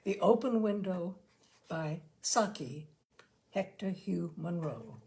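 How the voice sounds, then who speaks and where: A woman host reading short stories aloud with deliberate, expressive phrasing. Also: lowish voice. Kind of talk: storytelling, read-aloud narration.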